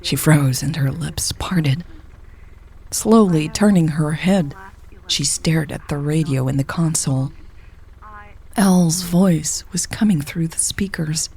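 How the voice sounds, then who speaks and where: A woman's voice: low-pitched, slow pace, mellow, slightly rough. A woman reading a scripted story as a narrator, voicing the dialogue as she goes. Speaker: audio fiction narrator.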